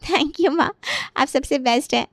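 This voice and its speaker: An eager, loving grown daughter voiced by a woman in an audio story. The voice is high and the recording very dry. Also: unhurried, gravelly, no room sound. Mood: grateful. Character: eager, affectionate married daughter.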